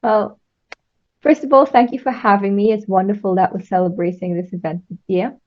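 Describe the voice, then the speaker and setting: A woman's voice: medium pitch, fairly even intonation, a little fast. Young woman, lo-fi sound. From Trinidad. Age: young adult. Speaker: engineering student guest. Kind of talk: interview answers.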